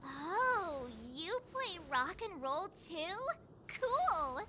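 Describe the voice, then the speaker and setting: A woman's voice: very high-pitched, big pitch swings, very slow, pushed and bright, slight background noise, exaggerated voice. An actress voicing a bubbly, curious young girl in a video game. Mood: curious, perky. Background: game music.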